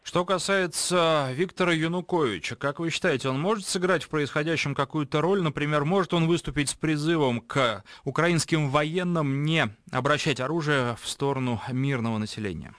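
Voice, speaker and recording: A man's voice: on the high side, normal speed, few pauses, fairly bright tone, dry recording, studio quality. A man interviewing a guest on the radio, asking long questions with "ah" fillers.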